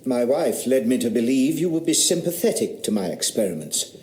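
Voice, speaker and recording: An actor playing an earnest eighteenth-century squire with a taste for experiments, speaking in a formal, courteous way. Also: male voice, mid-range voice, big pitch swings, a little fast, dark tone, reverberant, slight background noise, lightly acted. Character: earnest male country squire, amateur scientist. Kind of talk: radio drama acting.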